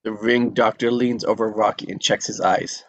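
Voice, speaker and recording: A man's voice: fairly low pitch, little pitch movement, moderate pace, a bit bright, dry recording, highs cut off. A man narrating the action of a film script at a table read.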